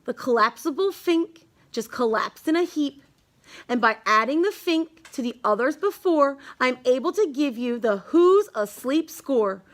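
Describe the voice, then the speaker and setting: Female storybook reader, extremely high voice, unhurried singsong delivery of children's verse. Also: expressive. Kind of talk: read-aloud of a rhyming children's picture book.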